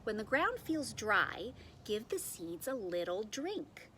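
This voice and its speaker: A kindly female schoolteacher read by a woman explains things to a young class in a storybook. She is gentle and encouraging, with a slow, patient delivery and lively intonation. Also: medium pitch, a little hiss or hum, full character voice. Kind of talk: children's storybook read-aloud.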